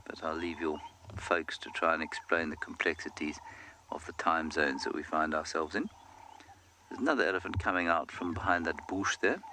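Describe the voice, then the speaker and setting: Low bright-toned guy doing a live safari drive, chill explainer vibe, quiet bush noise around. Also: normal speed. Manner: calm, explanatory, speaks directly to viewers. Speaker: safari guide. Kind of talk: live game drive commentary. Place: outdoors in the bush on a game drive.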